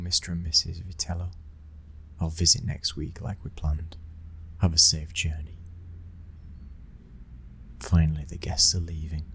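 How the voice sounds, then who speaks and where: A British man playing a commanding young mafia heir in an intimate ASMR roleplay, speaking in a measured, breathy voice that often drops toward a whisper. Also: sits low, slight background noise. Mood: brisk, businesslike. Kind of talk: ASMR boyfriend roleplay, scripted acting.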